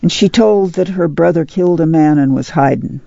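A woman's voice: low voice, moderate pace, gravelly, warm tone, dry recording, muffled recording. A woman playing a worried, stern but loving mother in a dramatized novel reading, with folksy country speech and an urgent, pleading way of talking. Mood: anxious, tender.

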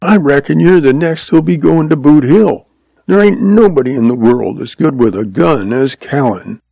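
Guy doing a cocky little cowboy kid from a western story, high voice, blunt and defiant. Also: animated, normal speed, creaky, mellow, no echo. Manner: self-important, blunt. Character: defiant, cocky frontier boy who idolizes gunmen.

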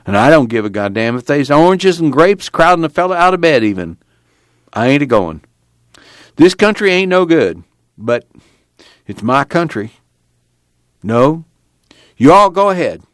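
A man's voice: a little high, very animated, unhurried, long pauses, no room sound, studio quality, pitched above the performer's own voice. A man voicing a cantankerous, defiant old farm grandfather in an audiobook, blunt and emphatic in folksy rural dialect. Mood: stubborn, defiant. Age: older.

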